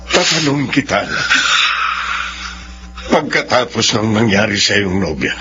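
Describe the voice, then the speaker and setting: A man playing a calm, supportive middle-aged father in a Filipino radio drama. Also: lowish voice, measured pace, faint music behind. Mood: concerned, calming. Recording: muffled, noisy radio drama audio.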